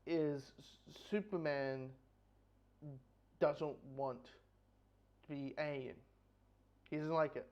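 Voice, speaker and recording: Higher-pitched man rambling through a review. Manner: rambling, repeats phrases as they go. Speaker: comic book reviewer. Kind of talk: casual spoken review. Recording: dull, lo-fi audio.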